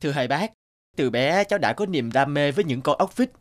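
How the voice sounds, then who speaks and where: A man voicing a kind, passionate young repairman in a cartoon, courteous and calm, with a Northern Vietnamese accent. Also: a little high, a little fast, lightly acted. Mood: earnest. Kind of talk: animated cartoon dialogue.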